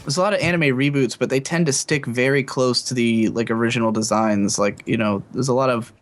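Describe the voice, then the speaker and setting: A man's voice: mid-range voice, a little fast, soft background music. Thoughtful man on a casual podcast. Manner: thoughtful, hedging with fillers. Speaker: podcast co-host.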